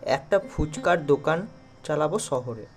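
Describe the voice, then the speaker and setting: A man's voice: on the high side, animated, measured pace. A man voicing a kind, simple village snack seller and family man in a children's cartoon, earnest and reassuring, over music. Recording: music behind the voice.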